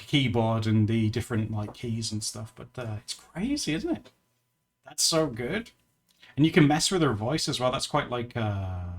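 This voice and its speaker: A man chatting casually with his viewers during a live art stream, chatty and giggly, often laughing and restarting his sentences. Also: medium pitch. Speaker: livestreamer. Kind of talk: casual livestream chat with viewers while drawing.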